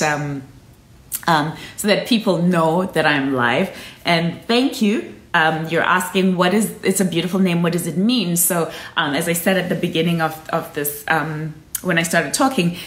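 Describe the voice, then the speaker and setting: Earnest Zimbabwean woman streaming from home. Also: lowish voice. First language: Shona. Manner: earnest and encouraging, gestures with her hands while talking. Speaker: host of a live stream. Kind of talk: casual live-stream talk to viewers. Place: indoor room at home. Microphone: phone camera at arm's length. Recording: echoey room.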